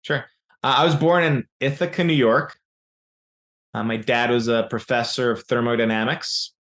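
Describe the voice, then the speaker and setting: A man in his forties whose first language is Serbian, answering interview questions in an explanatory way, often pausing on "uh" and restarting phrases. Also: mid-range voice, steady tone, fairly bright tone. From raised in Yugoslavia. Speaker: business founder as guest.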